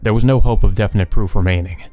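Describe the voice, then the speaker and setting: A man's voice: on the low side, fast talker, warm tone. A man reading a visual novel aloud and commenting casually as he plays. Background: game music.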